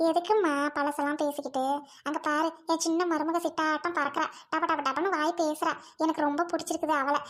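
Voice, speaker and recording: A woman's voice: sits high, level tone, brisk, pitched below the performer's own voice, lightly acted. A woman putting on a bossy older mother-in-law. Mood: bossy, fond.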